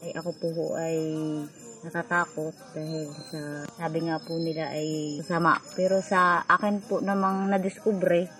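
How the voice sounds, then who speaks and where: A woman's voice: sits low, little pitch movement, moderate pace, fairly bright tone, faint music behind, poor audio. A woman in her late twenties with a Filipino accent, giving a personal testimony. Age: 20s. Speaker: member of a rural organization giving a testimonial.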